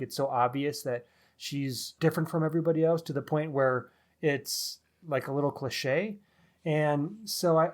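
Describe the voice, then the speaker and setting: A man's voice: fairly high pitch, a little mellow. A middle-aged man co-hosting a book podcast, giving opinionated, analytical takes in a casual, wordy way.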